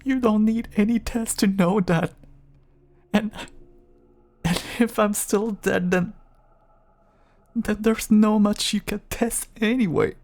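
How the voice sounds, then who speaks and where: A man playing a gentle, apologetic, seemingly cured vampire husband in an audio roleplay, pleading tenderly in a soft, breathy, stammering voice. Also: sits high, steady tone, slow, pauses often. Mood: desperate pleading. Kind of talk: intimate audio roleplay acting.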